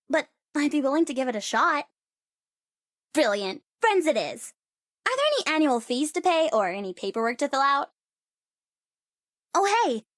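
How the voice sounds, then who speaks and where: High-pitched, sassy young sorceress. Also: female voice, fairly bright tone, no room sound, studio quality, heavily acted. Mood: wry, friendly. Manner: sassy, playful, teasing, theatrical. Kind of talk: video game character voice lines.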